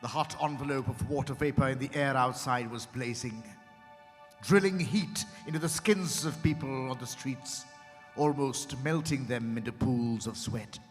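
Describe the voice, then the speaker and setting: An actor playing a dramatic male storyteller, narrating a literary piece in a theatrical, deliberate manner. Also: medium pitch, lightly acted. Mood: suspenseful, descriptive. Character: dramatic male storyteller recounting a strange encounter. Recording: echoing hall with music behind. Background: music.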